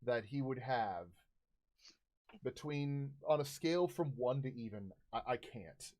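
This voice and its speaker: A man in his thirties acting as game master and stream host, narrating and chatting in a halting, conversational way. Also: medium pitch, lively intonation, a little mellow. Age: 30s. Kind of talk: improvised tabletop game narration and casual chat. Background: music.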